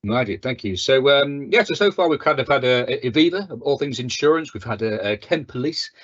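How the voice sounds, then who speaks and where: A man's voice: mid-range voice, expressive, fairly quick, a bit bright. A man hosting an online careers event, thanking speakers and introducing the next one in a hesitant way, with many uhs and repeated words. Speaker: event host. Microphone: video call. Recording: dull online call audio.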